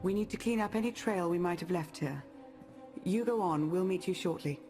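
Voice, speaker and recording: A woman playing a cautious, commanding strategist in a video game, speaking with measured, precise authority. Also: fairly low pitch, mellow, soft background music, lightly acted. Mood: focused, brisk. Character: cautious, commanding female strategist, brisk and composed. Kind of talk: video game cutscene acting.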